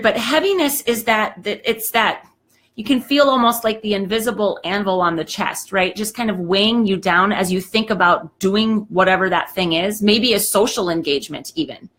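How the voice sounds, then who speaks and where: A woman's voice: on the high side, fairly bright tone. Chatty woman giving a motivational talk. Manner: chatty and emphatic, says "okay" a lot. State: slightly hoarse, clearing throat. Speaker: host of a live talk show.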